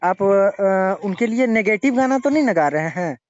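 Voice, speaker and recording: A man arguing haltingly as he questions someone in a confrontational phone interview, often cutting in to press his point. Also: sits high, expressive, darkish tone. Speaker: interviewer questioning a singer over a phone call. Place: phone call recording. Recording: dull lo-fi recorded phone call.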